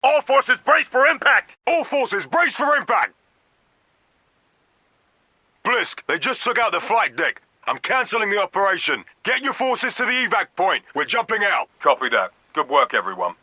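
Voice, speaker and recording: A grave, commanding military vice admiral for a video game. His voice is intensely forceful and edged, with clipped, authoritative orders. It comes dry and close, through a slightly lo-fi radio filter. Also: high-pitched, sing-song, normal speed, few pauses, dry recording, exaggerated voice. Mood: urgent, shouting. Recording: dull band-limited radio sound.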